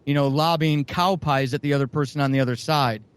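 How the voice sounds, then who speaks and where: A man who writes a comedy show, answering an interview question thoughtfully with halting restarts. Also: on the high side, clean tone, no room sound. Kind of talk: casual interview chat.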